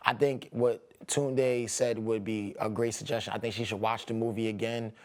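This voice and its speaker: A man's voice: fairly low pitch, little pitch movement, moderate pace, a little mellow. Exasperated man rebutting a critic. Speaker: panelist. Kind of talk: panel commentary.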